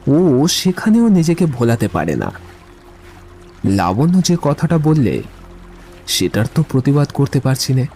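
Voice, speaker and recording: A man playing a romantic, brooding poet in a literary audio drama, speaking in a reflective, measured, lyrical way. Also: mid-range voice, mellow. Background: music.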